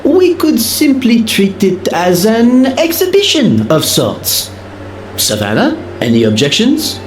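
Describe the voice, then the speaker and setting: An ornate, genteel gentleman from a video game. His voice swoops extravagantly and sits slightly high. It rings in a roomy space with faint noise behind it. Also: huge pitch swings, slow, full character voice. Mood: grandly persuasive. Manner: florid, theatrical phrasing. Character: flamboyant, genteel older male hotel gentleman, jovial and ornate.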